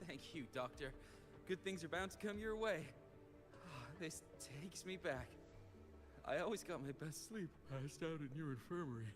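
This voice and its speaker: A man's voice: on the high side, animated, normal speed, close to an everyday voice. An actor playing an exhausted, feverish young male student who is stubbornly pushing on. He sounds weary, worn down and determined. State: feverish and faint. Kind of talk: game voice acting. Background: game music.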